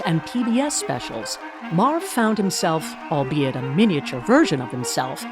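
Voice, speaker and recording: A woman delivers scripted documentary narration with an animated, varied pitch. Her voice is mid-range, and music plays clearly behind her.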